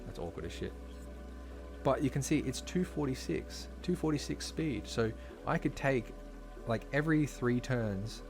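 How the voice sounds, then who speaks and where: A man talking casually while live-streaming a game, slightly hoarse, chatty and full of fillers, from a home streaming setup. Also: mid-range voice, expressive, unhurried. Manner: chatty, filler-heavy, talks while playing. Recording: clear background music under voice.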